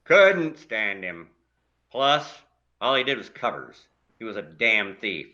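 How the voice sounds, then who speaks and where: A man's voice: fairly low pitch, sing-song, takes it slowly, bright, forceful tone, highs cut off, full character voice. A man playing a grumpy older widowed neighbor in a stage play, blunt and dryly complaining. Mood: cranky, ranting.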